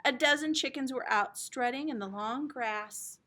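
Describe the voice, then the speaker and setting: A woman teacher reading a children's storybook aloud in an expressive, dramatic storytelling manner. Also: sits high, measured pace.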